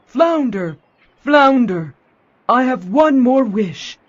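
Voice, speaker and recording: A storyteller playing a pleading, henpecked fisherman in a children's storybook, with drawn-out, beseeching calls. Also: mid-range voice, lively intonation, voice pushed up. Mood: calling out. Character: pleading henpecked fisherman begging a magic fish. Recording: dull lo-fi audio with music.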